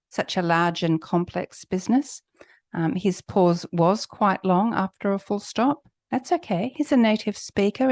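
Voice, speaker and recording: A woman's voice: on the low side, darkish tone, no room sound, clean studio sound. A woman teacher giving an instructional lesson on pronunciation, coaching step by step and emphasizing example words. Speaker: English test preparation teacher.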